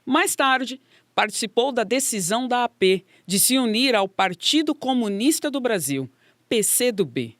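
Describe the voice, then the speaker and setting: A woman's voice: a little high, animated, a little slow, no echo, clean studio sound. A woman with a Brazilian accent reading scripted narration for a radio documentary.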